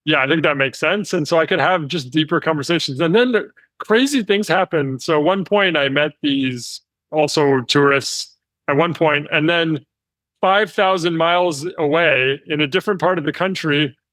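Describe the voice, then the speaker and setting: An American man, a company founder, telling travel stories as an interview guest with lively delivery and frequent fillers. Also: a little high, normal speed, dry recording. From United States. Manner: animated storytelling with frequent fillers. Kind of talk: conversational interview.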